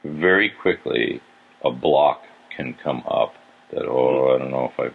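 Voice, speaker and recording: A man's voice: very deep voice, a little slow, creaky, breathy edge. A man from California, a pastor hosting a podcast, musing and thinking aloud in casual conversation. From California, USA. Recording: dull lo-fi sound.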